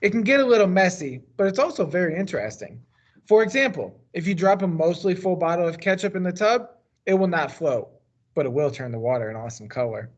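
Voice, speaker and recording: An American man reads a children's story aloud in a slightly high-pitched voice. He leans into the start of each phrase and lets the endings drop. The recording is a little dull and lo-fi. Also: lively intonation, a little fast. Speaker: teacher reading a book aloud. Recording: dull, lo-fi sound.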